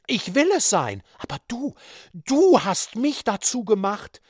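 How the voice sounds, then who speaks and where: A man voicing a wild, vengeful woman who lashes out in scornful, high-pitched outbursts in an audiobook reading. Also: sing-song, unhurried, darkish tone, breathy edge, no echo, studio quality, heavily acted. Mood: raging. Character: wild, vengeful woman, mocking and half-mad.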